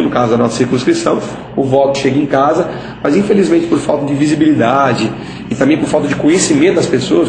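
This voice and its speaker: Brief: fast-talking Capixaba man being interviewed, echoey spot with noisy ambience behind. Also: mid-range voice. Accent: Capixaba Brazilian Portuguese. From Espírito Santo, Brazil. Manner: explanatory, frequent "né?" tags. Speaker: interviewee, political candidate. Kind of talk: casual interview answers.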